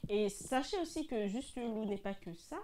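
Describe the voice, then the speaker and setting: A woman's voice: on the high side, lively intonation, normal speed, a loud crowd. A woman hosting an interview show, welcoming her guest and asking questions in an upbeat way. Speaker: interview host.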